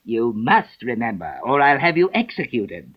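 A man puts on an irritable king from a storybook. He is pompous and imperious, throwing out threats with big swoops of pitch. The recording is dull and lo-fi. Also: very animated, normal speed. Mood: angry threatening. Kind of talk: storybook character voice.

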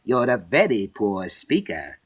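A man's voice: lowish voice, sing-song, unhurried, breathy edge, heavily acted. A man voicing an irritable, pompous storybook king who is imperious and threatening. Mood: impatient. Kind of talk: storybook character voice. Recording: dull lo-fi recording.

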